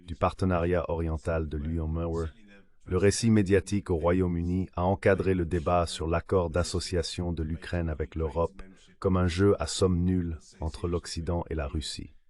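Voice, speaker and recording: A low-voiced man delivers an interview guest's answers as a dubbed translation. The reading is scripted and measured, in an explanatory register. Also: flat delivery, darkish tone. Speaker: interview guest, former diplomat.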